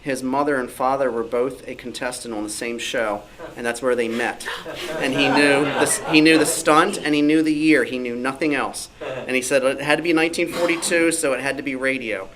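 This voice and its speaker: A man's voice: mid-range voice, steady tone, normal speed, few pauses, a crowd in the background. A man speaking as an author and researcher, telling anecdotes in a panel talk at a club meeting. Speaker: author and researcher of old-time radio, panel guest. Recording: clearly noisy live room.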